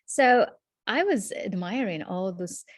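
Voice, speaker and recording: A woman, answering questions as an interview guest in a reflective, measured way over a video call. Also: mid-range voice, expressive, no room sound, studio quality. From Morocco. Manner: reflective, measured, with frequent restarts.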